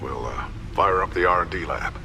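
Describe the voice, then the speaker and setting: A man's voice: low-pitched, moderate pace, close to an everyday voice. An actor playing an amiable, slightly flustered middle-aged male inventor in a video game, casual and hesitant. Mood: hesitant, friendly. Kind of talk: naturalistic video game acting. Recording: clearly noisy background.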